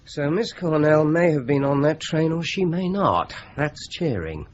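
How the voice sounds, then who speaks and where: An actor playing a calm, courteous police inspector who asks measured, polite questions in a radio drama. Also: male voice, a little high, a little hiss or hum. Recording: dull lo-fi audio.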